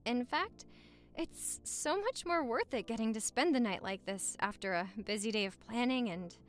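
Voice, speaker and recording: A woman's voice: fairly high pitch, expressive, normal speed, faint music behind, natural voice. A woman acting a playful, lovestruck newlywed wife in a romantic audio roleplay, teasing and flirting in intimate, easily flustered banter. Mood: affectionate banter.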